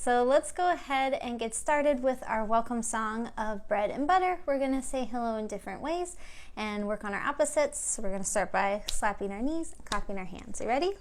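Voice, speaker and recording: A woman's voice: a little high, lively intonation, brisk. A woman hosting a storytime for young children, speaking in simple encouraging phrases and sing-song reading. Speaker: children's storytime host at a library. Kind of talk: children's storytime with songs and picture-book read-aloud.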